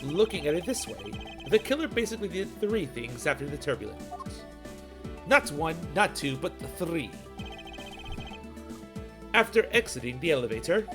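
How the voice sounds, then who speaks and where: A man voicing a poised, confident game prosecutor in a formal, theatrical, deliberate manner for a fan dub. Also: on the high side, big pitch swings. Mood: assertive, explaining. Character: poised, confident male prosecutor in a mystery game, arguing his case. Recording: music behind the voice.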